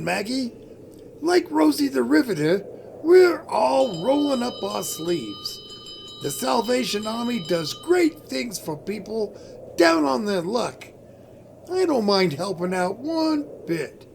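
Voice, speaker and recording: An actor playing a good-natured, folksy husband volunteering as a jolly street Santa in old-time radio comedy style. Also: male voice, sits high, gravelly, slight background noise, heavily acted.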